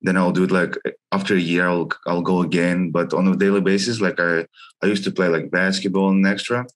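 A man's voice: low-pitched, flat delivery, a little fast. A young man from Russia and China, a guest on a video call, speaking casually with frequent "like" and loose non-native phrasing. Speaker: guest, gym member. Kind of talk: casual interview chat. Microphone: headset on video call.

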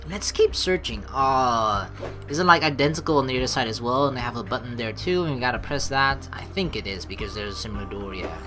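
A woman playing through a game, reading the story aloud and making casual, swearing asides. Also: rumbling low. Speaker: let's play streamer. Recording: game music behind.

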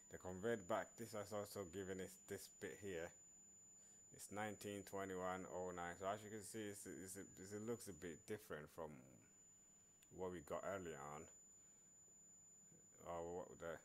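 A man narrating an informal programming screencast in a halting way, repeating words as he works. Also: low-pitched, fairly even intonation. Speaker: programming tutorial presenter. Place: screen recording voice-over.

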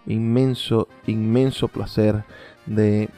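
A man hosting a radio show, giving reflective music commentary in a halting, searching way. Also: fairly low pitch, flat delivery, dark tone. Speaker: radio host.

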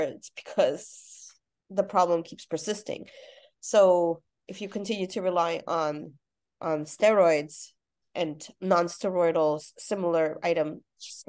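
A woman's voice: on the low side, darkish tone. Thirties woman, congested, friendly explainer, video-call audio. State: congested from a cold. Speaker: pediatric practitioner hosting a group call. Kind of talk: casual group video call. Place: home room with window.

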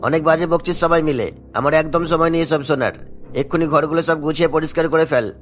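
A man's voice: fairly high pitch, quick pace, a little hiss or hum, natural voice. A man voicing a middle-aged, rich and domineering villager in a cartoon, talking in a scornful, dismissive way. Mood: irritated, bossy. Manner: dismissive, scolding, boastful. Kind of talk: cartoon voice acting. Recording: background music bed.

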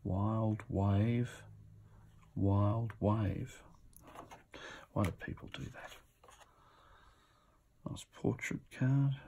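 A man's voice: on the low side, extremely slow, pauses often, dark tone. A man giving casual, off-the-cuff commentary as he presents auction items, musing to himself as he goes. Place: indoors at a table.

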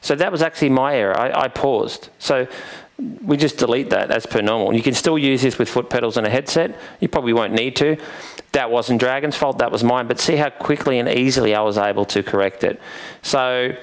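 A man, giving an informal software tutorial into a headset microphone. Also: medium pitch, brisk. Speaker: presenter, software vendor demonstrating a product. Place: indoors, presenting at a computer.

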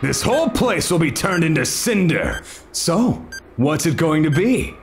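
A gleeful pyromaniac villain from an audio drama. He taunts in a grandiose, theatrical way, his pitch leaping around over background music. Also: very animated, unhurried. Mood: gleeful, taunting.

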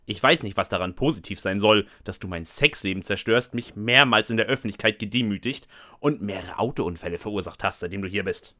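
A man's voice: on the low side, brisk, bright, forceful tone, no echo. A man narrating and acting the lead in a scripted comic fan audio drama, with a dry, sarcastic, resigned manner. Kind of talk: scripted first-person comic narration with dialogue.

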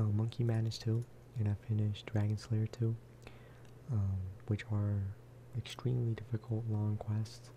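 A man giving a relaxed, casual ASMR gameplay commentary in a soft, breathy whisper, close to the microphone. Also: on the low side, a little hiss or hum. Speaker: video game streamer and host.

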